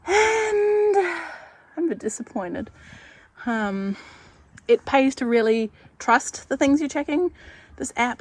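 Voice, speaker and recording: Casual vlogger woman, slightly high voice, nasal and congested, chatty and thinking out loud. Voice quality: nasal, stuffed up. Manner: chatty, savoring, thinking aloud. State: congested with a cold. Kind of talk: casual vlog chat.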